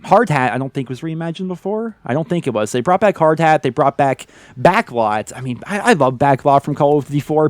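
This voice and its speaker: A man's voice: medium pitch, darkish tone, no echo. A man with a head cold and a stuffy nose, hosting a casual gaming chat where he answers viewer questions with blunt, opinionated takes. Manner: candid, opinionated, frequent "like" and "man". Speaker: gaming YouTuber host.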